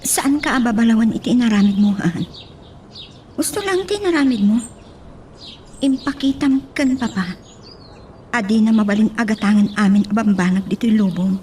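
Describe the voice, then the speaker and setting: A young sweetheart in a romantic audio drama, acted by a woman. Her voice is a little high, earnest and pleading, with a defiant streak. Light background noise and birdsong are behind her. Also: normal speed, pauses often, darkish tone, close to an everyday voice. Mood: defiant, tender. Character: devoted, defiant young female sweetheart, rich daughter.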